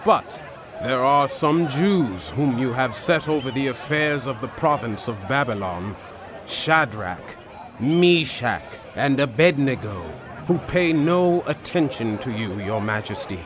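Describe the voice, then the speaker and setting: A man's voice: medium pitch, animated, a little slow, crowd noise, lightly acted. A man acting an accusing court informer who addresses his king formally and emphatically in a dramatized audio Bible. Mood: accusing, indignant.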